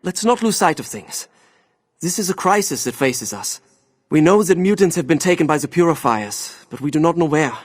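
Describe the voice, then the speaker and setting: A man playing a calm, thoughtful German mutant hero in a video game, with a German accent and formal, measured phrasing. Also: on the high side. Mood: earnest, urgent. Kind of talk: video game cutscene acting.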